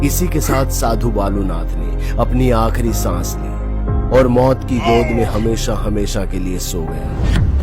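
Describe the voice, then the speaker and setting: A man narrating a scripted horror story in a dramatic storytelling style. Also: on the low side. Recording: loud music behind the voice. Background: music.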